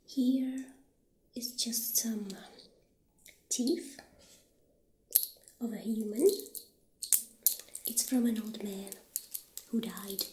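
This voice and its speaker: Casting: woman as a gentle witch shopkeeper for ASMR, soft near-whisper, unhurried delivery, echoey room with faint noise. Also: on the high side. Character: friendly witch shopkeeper showing potion ingredients. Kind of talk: soft-spoken ASMR roleplay. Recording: roomy echo, faint background noise.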